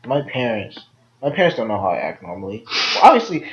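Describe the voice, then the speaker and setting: A man's voice: medium pitch, very animated, fast, a bit bright. Young man rambling on a lo-fi podcast. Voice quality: nasal. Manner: rambling, repeats words, many fillers. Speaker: podcast co-host. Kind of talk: casual chat between friends. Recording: dull lo-fi home recording.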